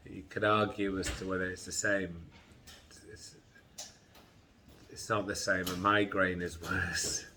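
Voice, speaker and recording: Slow, tired, congested man. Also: low-pitched, level tone, pushed and bright, slight background noise. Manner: slow, halting, tired recounting. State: congested, groggy chest, sore throat. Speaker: vlogger. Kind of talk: personal health vlog.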